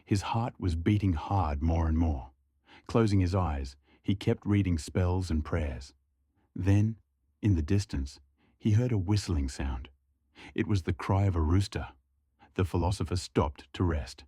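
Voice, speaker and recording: A man's voice: sits low, expressive, measured pace, mellow, breathy edge, no echo, clean studio sound. A man reading a scripted folk horror story as a narrator.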